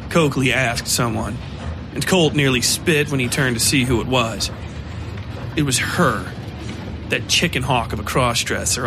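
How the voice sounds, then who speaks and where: A man giving scripted narration of a dark horror story. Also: medium pitch.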